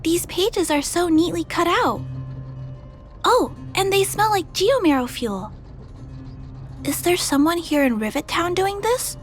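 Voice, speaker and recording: A woman voicing a gentle, curious little girl in a video game, musing thoughtfully and wondering aloud. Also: very high voice, lively intonation, unhurried, pauses often, faint music behind. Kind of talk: video game character dialogue.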